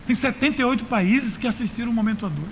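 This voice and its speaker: A man's voice: extremely high pitch, fast, slight background noise. A man with a Brazilian accent preaching as a pastor in a colloquial, exhorting way, repeating phrases for emphasis. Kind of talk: conversational sermon to a congregation.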